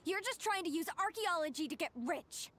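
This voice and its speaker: High-voiced, earnest young scholar. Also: female voice, animated, normal speed, fairly bright tone, slight background noise. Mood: indignant. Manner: hesitant, earnest, defensive. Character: timid but earnest young female archaeologist, easily indignant. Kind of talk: anime video game voice acting.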